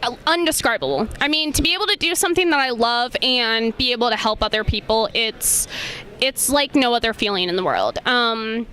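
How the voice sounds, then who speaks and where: A woman's voice: on the high side, brisk, bright, forceful tone. A young woman chatting casually and enthusiastically as an interviewed guest at a convention. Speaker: professional cosplayer being interviewed. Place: convention venue. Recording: on-location interview with clear background noise.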